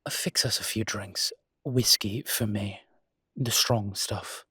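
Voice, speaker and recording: A man playing a suave ancient demon professor in an intimate roleplay audio drama, with a husky, breathy low voice and a dry, teasing, urbane manner. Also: takes it slowly, sharp tone, no echo, heavily acted. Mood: smug, casual.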